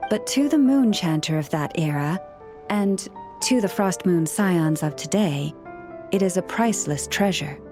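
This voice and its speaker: A gentle, learned young priestess of a fantasy game, voiced by an actress. Her voice sits at an average height for a woman, and she speaks formally and courteously in measured phrases. Music plays clearly behind her. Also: medium pitch, lightly acted. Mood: composed, earnest. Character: gentle, scholarly young woman, a nature-loving moon priestess. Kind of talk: video game voice acting. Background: background music.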